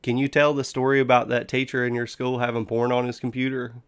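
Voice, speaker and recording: A man's voice: mid-range voice, level tone, fast talker, smooth. A man hosting a casual stream chat, with crude, profane banter. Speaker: stream host.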